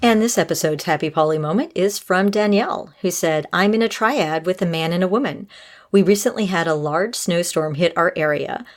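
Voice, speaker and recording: A woman's voice: on the low side, normal speed. A woman hosting a podcast in a conversational style, giving advice and talking to listeners. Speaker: podcast host.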